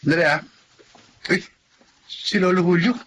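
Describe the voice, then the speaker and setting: An actor playing a kindhearted, casual young Filipino neighbor and friend in a radio drama. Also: male voice, sits high, unhurried, a bit bright, a little hiss or hum, rough recording. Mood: concerned.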